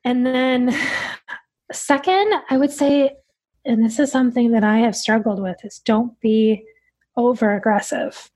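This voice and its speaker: A woman's voice: fairly high pitch, unhurried, dark tone. A woman speaking as a dietitian guest on a podcast, answering in a direct, earnest way.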